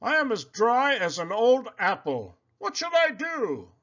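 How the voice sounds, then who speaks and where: A man voicing an excitable, fretful male pig in a children's read-aloud, in a loud, dramatic, exclamatory voice. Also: very high-pitched, a little slow, lo-fi sound, voice pushed up, full character voice. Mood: alarmed.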